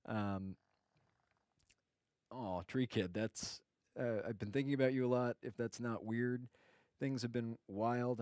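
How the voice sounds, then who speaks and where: A man's voice: fairly low pitch, no room sound, clean studio sound. A man hosting a casual livestream chat, greeting viewers and rambling with many fillers and restarts. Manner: greets viewers by name warmly. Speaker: livestream host.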